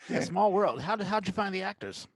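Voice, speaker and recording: A man's voice: high voice, animated, brisk, no room sound, clean studio sound. A man hosting a podcast interview, chatting casually in a hesitant, friendly and encouraging way. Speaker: podcast host.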